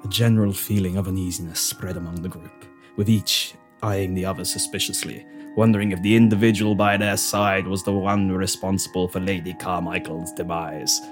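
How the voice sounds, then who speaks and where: A man acts out a grave detective constable from an old-time radio mystery. The voice is pitched low and delivers each line with deliberate, dramatic weight. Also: fairly even intonation, music in the background, close to an everyday voice. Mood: grave, suspicious.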